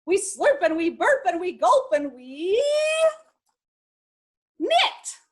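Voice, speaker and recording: Woman singing as rowdy, high-voiced pirate crew. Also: very animated, slow, heavily acted. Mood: rowdy glee. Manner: sing-song boasting with a high final whoop.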